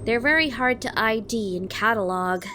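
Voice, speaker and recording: Woman as an earnest, higher-voiced female robot. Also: moderate pace, sharp tone, a little hiss or hum. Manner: formal, literal, hesitant. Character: earnest, naive young female robot puzzled by emotion. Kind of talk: comic dub voice acting. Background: prominent music.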